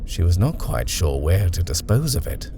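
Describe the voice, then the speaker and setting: A man narrating a horror short story in a measured, expressive reading style. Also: low-pitched, vocal fry, a little hiss or hum.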